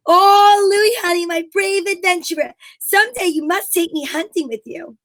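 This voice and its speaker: Woman acting very high, bright gushing sweetheart. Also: moderate pace, no room sound, clean studio sound. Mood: adoring. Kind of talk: 1940s radio comedy acting.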